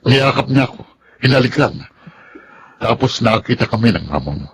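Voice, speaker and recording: A man's voice: medium pitch, sing-song, normal speed, vocal fry, background noise. An actor playing an indignant married man in a Filipino radio drama, emphatic and melodramatic, with a Filipino accent. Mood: grave, troubled. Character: indignant married man, accusing his seductive stepdaughter.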